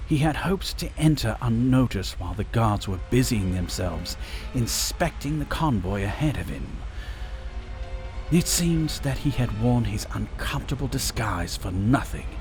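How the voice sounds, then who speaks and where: A man's voice: mid-range voice, expressive, unhurried. A man narrating a scripted fantasy story as a dramatic narrator. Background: music.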